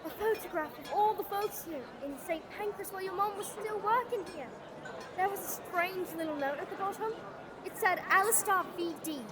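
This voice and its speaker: A woman's voice: very high-pitched, moderate pace. An actress playing an earnest, determined young girl detective in an audio drama, explaining things like a storyteller. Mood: urgent, conspiratorial. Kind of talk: scripted audio drama acting. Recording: busy outdoor crowd noise behind.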